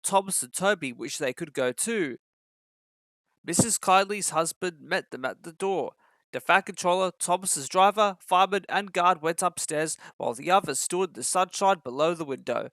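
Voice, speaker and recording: A man's voice: sits high, lively intonation, moderate pace, fairly bright tone, dry recording. A man reading a children's story as a scripted narration.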